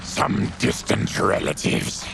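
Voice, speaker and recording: A man voicing a grim, honorable monstrous warrior in a video game, with a growling, gravelly voice and a solemn, terse, commanding manner. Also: slow, background noise, close to an everyday voice. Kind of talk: video game cutscene acting.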